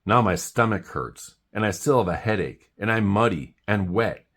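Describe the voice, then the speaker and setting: A man's voice: fairly low pitch, lively intonation, normal speed, fairly bright tone, pitched above the performer's own voice. A man voicing a grumpy, sulking, exasperated monkey from a children's storybook, complaining and snappy. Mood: miserable.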